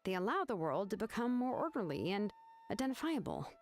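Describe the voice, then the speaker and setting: An actress voicing a laid-back young craftswoman who explains things in a relaxed, matter-of-fact way. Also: medium pitch, a little fast, close to an everyday voice. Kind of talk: video game voice acting.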